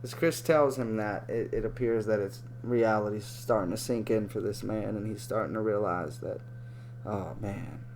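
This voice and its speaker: A man's voice: on the low side, moderate pace, a little mellow, slight background noise. A man giving casual, profane and sarcastic reaction commentary over a video clip.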